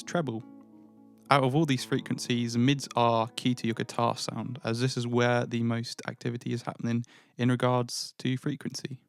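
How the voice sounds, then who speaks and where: A man explaining guitar gear in a casual voice-over, with a slightly nasal, thin sound and dry joking asides. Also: medium pitch. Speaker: guitar pedal reviewer.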